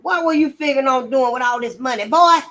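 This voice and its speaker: A woman's voice: high voice, fast talker, fairly bright tone, voice pushed up. A woman voicing a furious middle-aged plantation master who growls threats at a boy. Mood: threatening. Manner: growling, menacing.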